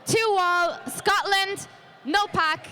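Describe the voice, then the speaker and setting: A woman's voice: extremely high pitch, extremely slow, pushed and bright. A woman doing live roller derby commentary in a chatty, joking way, with a faint arena hum behind her. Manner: jokey, chatty, laughs often. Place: leisure centre arena.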